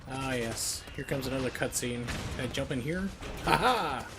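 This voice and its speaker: A man's voice: medium pitch, lively intonation, normal speed, creaky, music in the background. A man streaming a video game, giving casual, opinionated and emphatic commentary as he plays. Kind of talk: casual live-stream commentary while playing.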